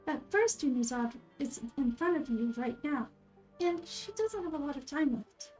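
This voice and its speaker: Woman as soft, high-voiced wise little girl deity. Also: expressive, normal speed, soft background music. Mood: calm, knowing. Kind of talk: video game character dubbing.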